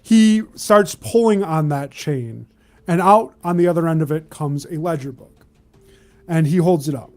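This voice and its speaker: A man's voice: a little high, unhurried, darkish tone. Game master, man, raised register, improvised tabletop narration with light music behind.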